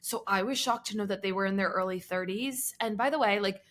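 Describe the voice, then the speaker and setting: A woman's voice: mid-range voice, a little fast, a bit bright, a little hiss or hum. A woman in her late twenties with a mid-range voice, co-hosting a podcast. She speaks in an upbeat, emphatic way and states her opinions firmly in casual conversation. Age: 20s. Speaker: podcast co-host. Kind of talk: casual chat.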